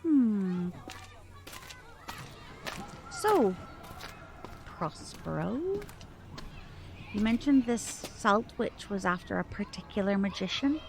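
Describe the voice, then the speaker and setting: A woman's voice: medium pitch, expressive, gravelly, darkish tone. Woman playing a gracious, guarded magician mother. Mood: coolly menacing. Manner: polite, measured, formal. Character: protective magician mother, gracious but sharply suspicious. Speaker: voice actor in an audio drama. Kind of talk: audio drama acting.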